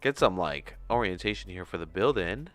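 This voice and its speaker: Low, clear-voiced man thinking aloud. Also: expressive, normal speed. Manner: informal, self-talking, murmuring through steps. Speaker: livestream host, animator. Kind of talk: casual screen-share tutorial livestream, thinking aloud. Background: music under the voice.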